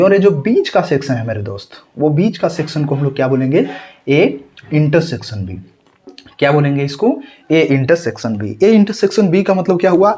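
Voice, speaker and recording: Young male maths tutor, hoarse and straining, fairly high voice with lively pitch, quizzing students. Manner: rhetorical questions and repeated checks. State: sore throat, straining to speak. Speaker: math teacher. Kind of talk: tutorial lesson.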